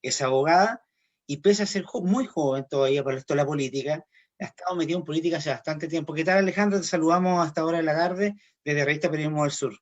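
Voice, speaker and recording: A man's voice: fairly high pitch, fast, muffled recording. A man interviewing a guest, asking long, wordy questions and often restarting his sentences.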